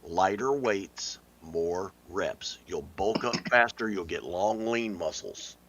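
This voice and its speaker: A man's voice: sits low, animated, takes it slowly, fairly bright tone, highs cut off, slight background noise. A man on a fan podcast, talking casually and repeating his points with emphasis. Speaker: podcast co-host. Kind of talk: casual chat.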